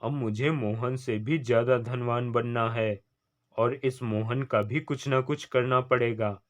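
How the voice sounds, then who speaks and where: A man playing an envious, greedy young villager in a cartoon fable, with deliberate, declamatory delivery. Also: mid-range voice, steady tone, lightly acted. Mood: jealous, scheming. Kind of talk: scripted cartoon story dialogue. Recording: slightly dull lo-fi studio track.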